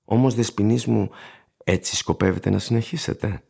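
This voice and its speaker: An actor playing an ageing, plain-spoken shoe shiner in a radio play, courteous and gravely cautioning, with a husky, gravelly voice. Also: male voice, lowish voice, steady tone, darkish tone, soft background music, heavily acted. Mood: grave warning. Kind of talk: radio drama acting.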